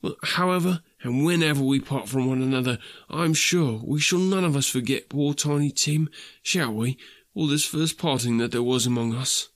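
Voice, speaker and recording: A man's voice: medium pitch, moderate pace, no room sound, studio quality, voice pushed up, close to an everyday voice. A man voicing a kindly, grieving father in an audiobook story, earnest and emotional. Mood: tender, heartfelt. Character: Bob Cratchit, tender, grieving, kindly working-class father.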